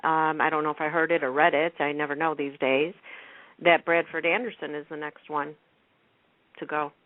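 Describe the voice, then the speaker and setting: A woman's voice: sits low, flat delivery, normal speed, no echo. A woman radio host in casual, chatty fan talk, rambling as she tells things. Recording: thin, phone-like radio line.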